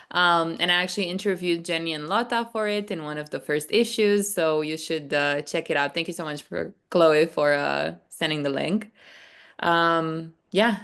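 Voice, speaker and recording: A woman from Romania speaks as a podcast producer on an online panel. Her voice sits low and comes through smooth and resonant. She explains things in a measured way, pausing on frequent ums, over a video call. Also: a bit bright. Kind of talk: panel discussion answer.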